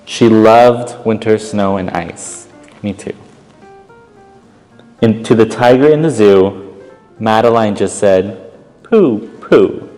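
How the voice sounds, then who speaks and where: A man with a fairly low voice reads a children's story aloud at a slow pace. He is expressive and pauses often, adding casual comments. The sound has a long hall-like reverb. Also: soft background music. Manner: expressive, pausing, commenting on the pictures. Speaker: volunteer storytime reader. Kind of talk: read-aloud children's picture book narration with casual asides.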